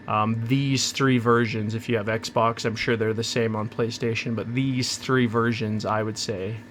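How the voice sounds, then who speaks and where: A man hosting his own channel, chatting casually and rambling through an unboxing, hoarse from a cold. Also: medium pitch, a bit bright, a little hiss or hum. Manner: rambling, chatty, self-interrupting. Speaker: channel host.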